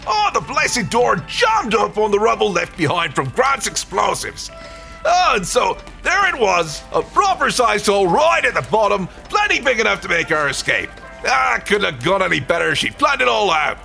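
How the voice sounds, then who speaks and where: Gravelly, blustery adventurer, wildly animated. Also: male voice, sits high, normal speed, heavily acted. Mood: boastful, amused. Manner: blustery, theatrical. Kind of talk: audio drama acting. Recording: loud music under the voice.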